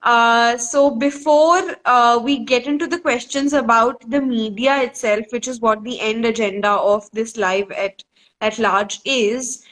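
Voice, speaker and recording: A woman hosting a live online interview over a video call, asking her questions in a polite, measured way. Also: fairly high pitch, fairly even intonation, a bit bright, low-quality recording. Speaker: host of a live online discussion. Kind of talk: casual live-stream interview.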